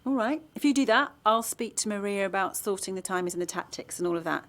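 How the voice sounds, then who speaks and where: A woman playing a determined, organised small-town campaigner in an audio drama, with an articulate, measured delivery. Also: medium pitch, lively intonation, fairly bright tone, natural voice. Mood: keen, plotting. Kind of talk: naturalistic audio drama, dialogue and narration.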